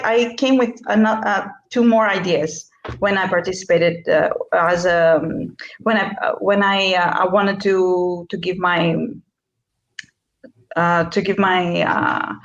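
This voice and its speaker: A woman from Greece talks about her workshop on a video call, searching for words and restarting her sentences. Her voice sits at a middle pitch. The room around her is noticeably echoey. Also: steady tone, a little slow, highs cut off. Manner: hesitant, many fillers and restarts. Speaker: workshop speaker. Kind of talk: online interview.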